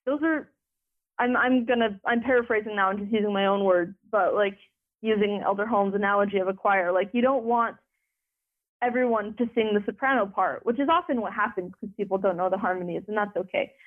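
A young woman speaking as an interview guest, rambling with many filler words and restarts. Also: brisk. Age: young adult. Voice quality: deeper voice. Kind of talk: conversational interview. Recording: phone line.

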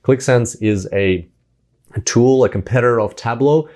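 A man's voice: mid-range voice, measured pace, a little mellow. A man hosting a podcast, introducing his guest with enthusiastic, filler-filled talk. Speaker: podcast host.

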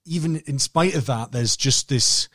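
A Northern English podcast co-host with a mid-pitched voice who speaks casually, stumbling and repeating words as he works through his thoughts. The sound is dry. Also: fairly bright tone, dry recording. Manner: halting, repeats words while thinking aloud.

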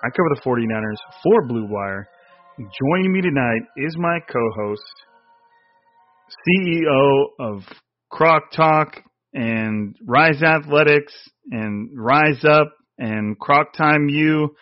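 A man's voice: a little high, lively intonation, takes it slowly, highs cut off, faint music behind. A man hosting a casual sports podcast, with a nasal voice and a rambling way of talking. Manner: rambling, restarts often. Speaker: podcast host.

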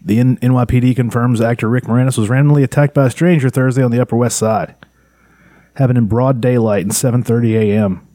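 A man's voice: mid-range voice, steady tone, quick pace, dark tone, dry recording. A man co-hosting a casual podcast, chatting loosely and laughing often at his own jokes. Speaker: podcast co-host.

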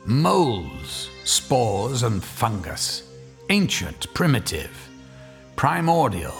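A man narrating as the host of a radio horror story, slow and theatrical, relishing each word. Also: mid-range voice, very animated, gravelly, a bit bright. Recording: narration over music.

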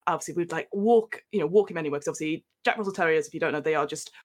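A woman's voice: low voice, rapid-fire. A woman with a northern English accent from northwest England, a podcast guest telling a story in a rambling, self-mocking way. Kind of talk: casual storytelling chat.

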